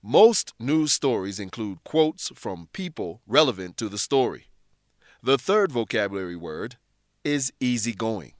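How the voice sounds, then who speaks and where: A man narrating a scripted English lesson, explaining clearly and deliberately as a teacher. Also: lowish voice, big pitch swings, dry recording, studio quality.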